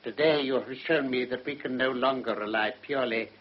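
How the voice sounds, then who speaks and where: An actor playing a stern, dignified judge with an English accent, speaking formally and severely in a radio drama. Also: male voice, mid-range voice, a little fast, a little hiss or hum, close to an everyday voice. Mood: accusing. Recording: muffled old radio recording.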